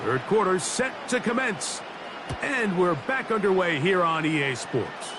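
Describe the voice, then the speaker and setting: A man's voice: high-pitched, sing-song, measured pace. A man with an American accent calling American football play-by-play as a game commentator. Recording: loud crowd noise, game audio in background.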